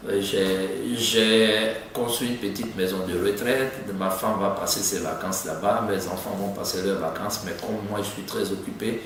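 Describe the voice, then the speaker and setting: A man's voice: low voice, steady tone, normal speed, hardly any pauses, a bit bright. A man answers interview questions conversationally, repeating phrases for emphasis. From Côte d'Ivoire (Abidjan), of Lebanese descent. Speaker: doctor and city councillor being interviewed. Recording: echoey room, distant mic, faint noise.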